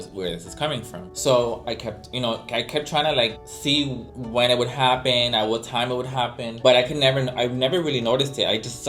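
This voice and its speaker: A man's voice: medium pitch, fairly bright tone. Chatty man with a Miami Cuban accent. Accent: Cuban-American Miami. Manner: chatty and dramatic, with Spanish slang and asides to viewers. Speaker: vlogger. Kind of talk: casual chat to camera. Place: indoors, sitting.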